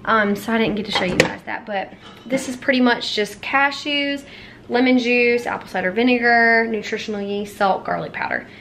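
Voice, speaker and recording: High-voiced woman chatting in a home vlog. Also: moderate pace, background noise. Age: 30s. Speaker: vlogger and mother. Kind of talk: casual vlog talk to camera. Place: home kitchen and outdoor back porch. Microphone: camera at arm's length.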